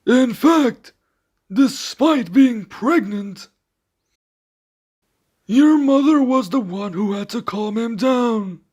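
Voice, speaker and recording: A man playing a loyal royal servant in an audio roleplay, formal, composed and deferential, with gentle candour. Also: very high voice, takes it slowly, pauses often, exaggerated voice. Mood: wry, reassuring.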